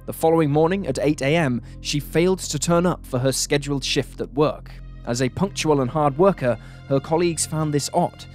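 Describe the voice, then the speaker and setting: Measured male true crime narrator over music. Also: a little high. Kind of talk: scripted narration. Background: background music.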